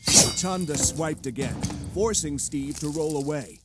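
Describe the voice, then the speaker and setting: A man's voice: on the high side, a little slow, over loud music. A man delivering dramatic scripted narration for an audio drama.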